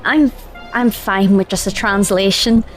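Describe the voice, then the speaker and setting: A woman's voice: medium pitch, fairly even intonation, unhurried, faint music behind, lightly acted. An actress playing a wary, wry female commander in an audio drama. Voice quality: wry, guarded. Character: wary female commander alone in a hostile city.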